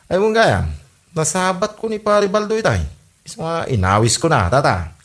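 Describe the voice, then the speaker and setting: An actor with an Ilocano accent playing an easygoing, bored village husband in a comedy drama podcast. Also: male voice, a little high, sing-song, normal speed, fairly bright tone. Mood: cheerful, inviting.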